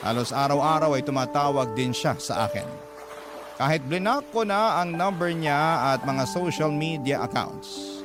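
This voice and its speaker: Male radio storyteller, fairly high voice, dramatic letter reading, loud music bed. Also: animated, normal speed.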